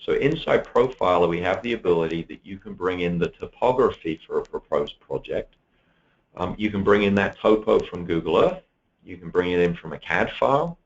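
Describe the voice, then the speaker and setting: A man giving a steady, explanatory walkthrough of software features in a webinar, with an occasional um. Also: deep voice, a little fast, highs cut off. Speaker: software presenter.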